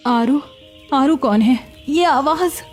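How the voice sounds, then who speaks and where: A woman voicing a hungry young beggar woman in a cartoon story, plaintive and quick to retort. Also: high-pitched, soft background music. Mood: sad, confused. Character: hungry young beggar woman, pleading yet sharp-tongued. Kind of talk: Hindi cartoon story acting.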